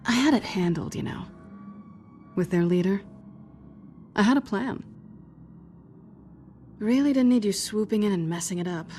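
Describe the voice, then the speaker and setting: A woman's voice: mid-range voice, expressive, moderate pace, pauses often, dark tone, close to an everyday voice. A woman playing a guarded, teasing, world-weary female thief with a wry, sardonic manner in a video game. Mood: wry, annoyed. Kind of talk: video game cutscene dialogue. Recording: music behind the voice.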